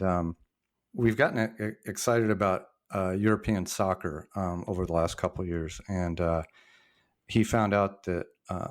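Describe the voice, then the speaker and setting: A man in his sixties, a former journalist being interviewed, telling stories in a reflective, halting way with frequent restarts. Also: low voice. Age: 60s. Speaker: podcast guest, former journalist. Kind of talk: casual storytelling interview.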